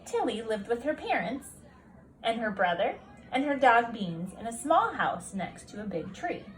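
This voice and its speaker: A woman's voice: a little high, a little hiss or hum, rough recording. A woman narrating a children's storybook aloud expressively, like a teacher reading to children at home. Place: at a kitchen table at home.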